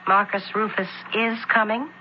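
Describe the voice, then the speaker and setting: An actress playing a poised, willful young merchant's daughter, pointed and formal, in old-time radio drama. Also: female voice, mid-range voice, steady tone, moderate pace, lightly acted. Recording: dull, noisy old radio recording.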